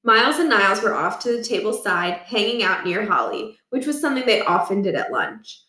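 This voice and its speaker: A woman reading a children's storybook aloud with expressive, deliberate delivery in a roomy space. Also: mid-range voice, distant mic. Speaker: read-aloud reader. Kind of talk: scripted storybook narration. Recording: roomy, echoing room.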